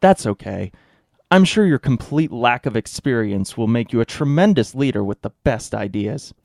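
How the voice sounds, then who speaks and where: A man's voice: medium pitch, animated, normal speed, creaky, darkish tone, no echo, clean studio sound. A man playing a gullible, put-upon restaurant owner with earnest delivery in a satirical radio drama. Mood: naively won over.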